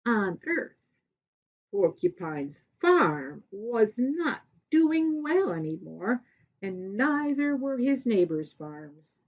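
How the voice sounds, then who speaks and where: A woman reads a story for children in an expressive storyteller's style. Her fairly high voice rises and falls widely, and she takes her time with deliberate pauses. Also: slightly rough, highs cut off. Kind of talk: animated children's story reading.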